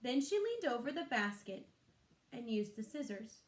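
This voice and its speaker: A woman's voice: on the high side, very animated, normal speed. A woman reading a children's story aloud expressively, like a teacher, in an echoey room.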